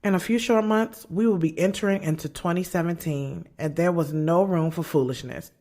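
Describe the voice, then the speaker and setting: A woman's voice: on the low side, normal speed, dark tone, natural voice. An actress playing a candid, loyal woman who narrates her own story in an audio drama, in a conversational, slangy and frank way. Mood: upbeat, determined. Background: music.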